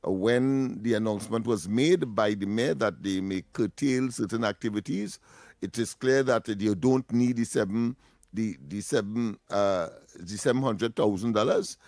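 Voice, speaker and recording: A man giving measured radio commentary in formal wording with many fillers. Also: on the low side, darkish tone, dry recording, studio quality. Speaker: radio co-host.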